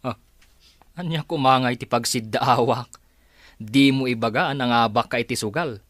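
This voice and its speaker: A man's voice: mid-range voice, moderate pace, fairly bright tone, no room sound, close to an everyday voice. A man with an Ilocano accent playing an argumentative, sarcastic, hard-drinking son in an audio drama. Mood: indignant. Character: aggrieved hard-drinking son quarrelling over gambling and money.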